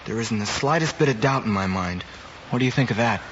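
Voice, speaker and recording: A cocky, anxious schoolboy, noisy old radio. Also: male voice, on the low side, animated, a little fast, fairly bright tone, dull audio. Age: young adult. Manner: brash confidence hiding self-doubt. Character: anxious, cocky, studious high school boy set on winning. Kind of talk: 1950s radio drama acting.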